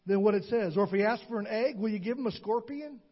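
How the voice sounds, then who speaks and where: A man preaching a sermon, shouting with call-and-response to the congregation. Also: high-pitched, fast, husky, muffled recording. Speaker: pastor. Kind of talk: Pentecostal sermon.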